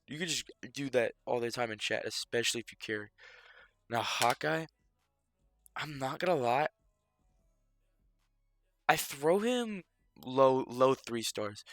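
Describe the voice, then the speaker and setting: A man's voice: medium pitch, no echo. Young man streaming, dry close mic. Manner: loose, filler-heavy, self-interrupting. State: a bit stuffy from a cold. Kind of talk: casual rambling stream commentary.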